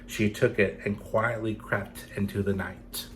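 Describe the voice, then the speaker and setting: A man storyteller reading expressively for children, with dramatic, deliberate phrasing that addresses young listeners. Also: fairly low pitch, flat delivery, a little hiss or hum. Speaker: storyteller for children's theatre series.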